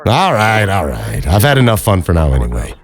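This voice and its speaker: A man as a very animated, boisterous supervisor. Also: sits low, brisk. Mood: teasing, playful. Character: teasing, boisterous male air traffic shift supervisor. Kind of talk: audio drama acting.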